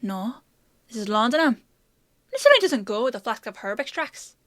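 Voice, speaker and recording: A woman's voice: on the high side, sing-song, moderate pace, fairly bright tone, no room sound, studio quality. A woman playing a bossy, teasing female apothecary in a fantasy audio roleplay, with a chatty, sardonic, playfully scolding manner.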